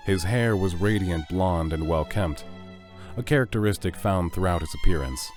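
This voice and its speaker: Deep-voiced man narrating a story. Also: normal speed. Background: music.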